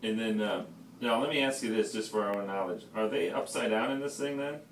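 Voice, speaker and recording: A man with a fairly low voice talks casually as an investor on a business call. He is in a very echoey room, away from the mic, with noise around him. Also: fairly quick, far from the mic. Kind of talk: casual business phone conversation.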